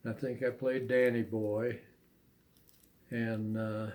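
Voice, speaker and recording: An older man, an amateur poet, speaks in an unhurried, halting way as he reads verse and reminisces. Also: mid-range voice, flat delivery, a little mellow. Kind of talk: poetry reading and casual reminiscing.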